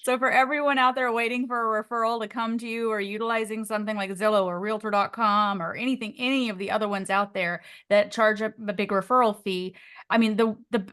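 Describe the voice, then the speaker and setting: A woman's voice: mid-range voice, fairly even intonation, brisk, fairly bright tone, smooth. Upbeat woman coach leading a call. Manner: upbeat, encouraging, affirming. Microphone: video call.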